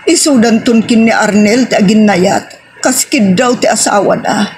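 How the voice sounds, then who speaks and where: A woman's voice: medium pitch, normal speed, darkish tone, slight background noise, close to an everyday voice. A woman acting an earnest mature mother in an audio drama, with a Filipino Ilocano accent. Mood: pleading. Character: earnest middle-aged or older mother, pleading. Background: prominent music.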